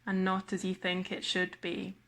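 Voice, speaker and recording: A woman guiding a body scan meditation in a slow, measured manner with long pauses. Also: mid-range voice, monotone, creaky. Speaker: meditation guide.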